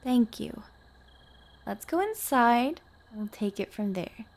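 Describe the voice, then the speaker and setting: A woman's voice: a little high, expressive, slow, darkish tone. A woman playing a nervous, earnest young girlfriend in an intimate audio roleplay, hesitant and pleading in her delivery. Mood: pleading, exasperated.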